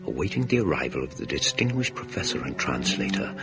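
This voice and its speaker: Deep bright english don, very polite n fussy, voice swoops about, old radio play style. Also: male voice, big pitch swings, fast, creaky, music in the background, full character voice. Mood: reflective. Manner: hesitant, donnish, fussily polite. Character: courteous, fussy English scholar and ghost-story writer. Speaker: narrator and lead character. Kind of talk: radio drama acting and narration.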